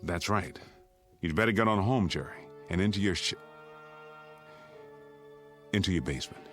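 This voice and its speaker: An actor playing a stern, resolute suburban father in a radio drama, speaking gravely and firmly. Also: sits low, lively intonation, moderate pace, a bit bright, soft background music.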